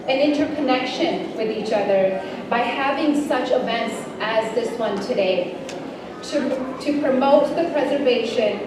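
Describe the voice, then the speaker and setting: A young woman giving an earnest, measured speech on behalf of a multicultural education association at a community celebration. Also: on the high side. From Canada. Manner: earnest, measured delivery with occasional slips and restarts. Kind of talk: prepared public speech. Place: large event hall. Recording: echoey hall, distant mic, background noise.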